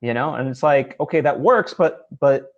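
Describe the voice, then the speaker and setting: A man hosting a casual, reflective interview, talking in a halting way and repeating words as he reasons. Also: mid-range voice, highs cut off. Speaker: interview host.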